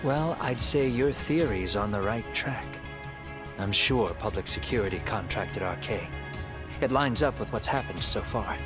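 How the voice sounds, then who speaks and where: Man acting a composed, calculating fugitive, low-voiced. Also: over music, close to an everyday voice. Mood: analytical. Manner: measured, deliberate, explanatory. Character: composed middle-aged male fugitive avenger, calculating and grave. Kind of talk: dramatic video game voice acting.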